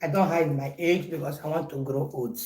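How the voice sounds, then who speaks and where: A woman's voice: low voice, fairly even intonation, fairly quick, dark tone, reverberant, rough recording. A Nigerian woman in her forties, chatting casually in a home vlog and playfully repeating herself. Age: 40s. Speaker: vlogger. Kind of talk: casual birthday vlog to viewers.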